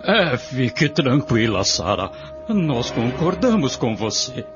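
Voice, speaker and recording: A dull radio drama recording with clear music. An actor plays an uneasy husband and householder, reassuring in slow, halting phrases that rise and fall markedly. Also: male voice, medium pitch, sing-song. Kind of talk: radio soap melodrama.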